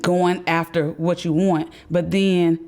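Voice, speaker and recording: Lower-voiced woman host from Chicago. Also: level tone, takes it slowly, darkish tone. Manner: conversational, reflective, encouraging. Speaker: podcast host. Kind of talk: casual interview.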